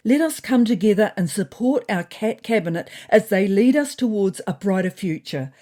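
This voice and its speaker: A woman's voice: medium pitch, animated, moderate pace, dark tone, voice pushed up, close to an everyday voice. A woman voicing a pompous female cat leader who gives grand, formal political oratory in a comedy story podcast. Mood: pompous, stirring.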